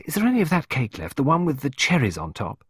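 A man's voice: mid-range voice, normal speed, breathy edge, dry recording, clean studio sound, voice pushed up. A man voicing a twelve-year-old schoolgirl in an audiobook, blunt, cheerful and always hungry, grumbling or pleading. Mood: pleading, eager. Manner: laconic grumbling, pleading, excitable. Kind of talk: audiobook character voice.

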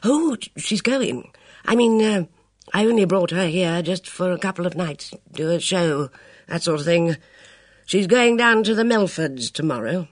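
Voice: medium pitch, lively intonation, no echo, clean studio sound, pitched above the performer's own voice. A reader voicing a hesitant, kindly older military man who stammers and halts between words. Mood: flustered. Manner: stammering, halting, full of fillers.

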